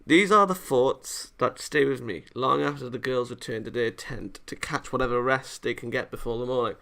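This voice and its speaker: A man's voice: medium pitch, normal speed, gravelly, bright, forceful tone. A man casually reading a visual novel aloud, dropping wry interjections between the lines. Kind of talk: casual read-aloud commentary. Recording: music behind the voice. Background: game music.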